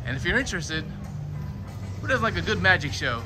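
A man's voice: high voice, big pitch swings, brisk. A man in his forties chatting to viewers while filming a walking tour outdoors, camera at arm's length, with jokes and asides. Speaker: travel vlogger. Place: outdoors at a tourist shopping street. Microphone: handheld camera at arm's length. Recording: outdoor walk with loud music behind.

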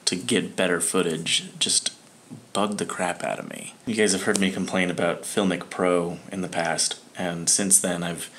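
Man vlogging quietly at night, low voice. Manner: complaining, low-key late-night talk. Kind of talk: casual daily vlog. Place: dark room at night. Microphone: phone camera held close.

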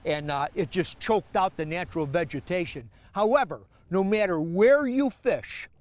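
Male angler host, middle-aged, American, fairly high register, casual outdoor commentary from a lake boat. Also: expressive, normal speed. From Minnesota, United States. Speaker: fishing show host. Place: on an open fishing boat on a lake.

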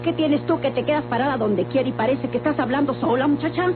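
A woman's voice: high-pitched, fast talker, natural voice. An actress playing a suspicious, scolding country mother in an old radio melodrama. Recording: tinny old radio recording, music behind.

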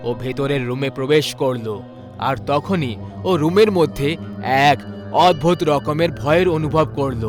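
A man narrating a scripted ghost story in a dramatic, suspenseful manner with pauses. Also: fairly high pitch. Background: faint background music.

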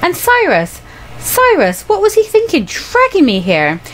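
A woman's voice: extremely high pitch, huge pitch swings, measured pace, a bit bright, slight background noise, voice pushed up, exaggerated voice, rough recording. A woman voicing a haughty, naive young student girl in a visual novel, prim and dismissive. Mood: exasperated, dismissive.

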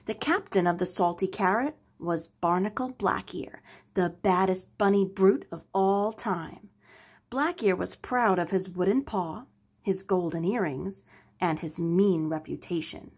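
A woman narrating a children's story aloud with expressive, dramatic delivery. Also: fairly low pitch, measured pace, breathy edge. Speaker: storybook reader.

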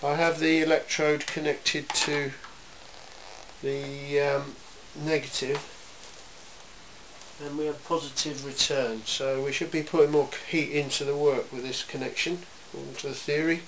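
A man giving an informal, matter-of-fact hands-on demonstration in a workshop, speaking in a measured way. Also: a little high, flat delivery, fairly bright tone. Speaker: welding demonstrator. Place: indoor cluttered workshop. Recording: hissy, noisy amateur camera sound.

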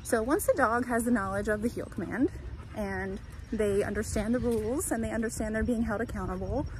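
A woman with a slightly high voice gives a dog-training lesson as she walks a dog outdoors, explaining each step clearly in a relaxed, conversational way. Street traffic and outdoor noise stay clearly audible behind her. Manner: talking while walking a dog. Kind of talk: instructional walk-and-talk. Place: outdoors by a creek in a town center.

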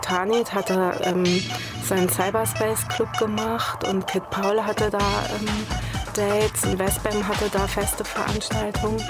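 A woman's voice: medium pitch, flat delivery, slow, loud music behind the voice. A woman casually reminiscing in an interview, telling stories in a chatty, colloquial way. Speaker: club scene witness.

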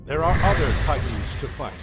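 A man's voice: fairly high pitch, expressive, normal speed, lightly acted. An actor playing a grave, fatherly heroic spirit who gives solemn commands in a dramatic video game. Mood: grave, gentle. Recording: long ghostly reverb under music.

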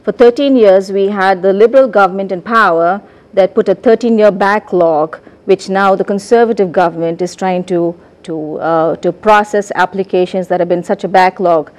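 A middle-aged woman from India, a political candidate answering in a measured way, with fillers and repeats, in a studio debate. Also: mid-range voice, a little mellow. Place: television studio.

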